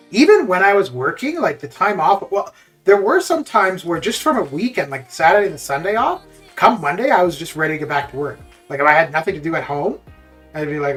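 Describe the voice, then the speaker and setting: A live streamer of middling pitch, chatting casually and often amused at their own remarks. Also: fairly bright tone. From Canada, born in the Caribbean. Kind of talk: casual chat while streaming. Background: music with guitar.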